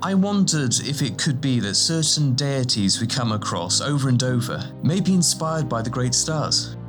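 Man putting on a fussy, timid scholar, swooping pitch. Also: very animated, normal speed, lightly acted. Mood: musing. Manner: wordy, formal, anxious, tentative. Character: hesitant, scholarly, timid man with pedantic courtesy. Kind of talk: audiobook character dialogue. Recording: music bed behind the voice.

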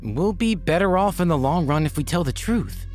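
A man's voice: lively intonation, moderate pace, a little mellow, faint music behind, natural voice. A man voicing an earnest, anxious teenage boy with a raised, pleading voice. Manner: pleading, high-pitched worried voice.

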